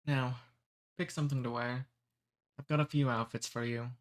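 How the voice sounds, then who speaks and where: A man voicing a friendly, centuries-old male demon in an ASMR roleplay. He talks calmly and teases gently. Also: mid-range voice, normal speed, slight background noise, natural voice. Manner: calm, friendly, gently teasing.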